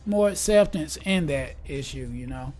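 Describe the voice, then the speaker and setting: Man in his forties, fairly high voice, earnest preachy talk, faint music behind. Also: animated, normal speed, darkish tone. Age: 40s. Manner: earnest, preachy, upbeat, laughs easily. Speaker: guest, gospel rap artist. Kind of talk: casual conversation.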